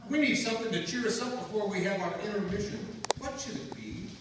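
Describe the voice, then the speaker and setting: Scatterbrained, excitable male announcer acted by a man, unusually high voice, old radio comedy, distant in a big reverberant hall. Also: quick pace, close to an everyday voice. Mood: flustered, eager. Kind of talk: 1940s radio comedy acting. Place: college stage performance in a large hall. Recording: echoey hall from far off, noisy.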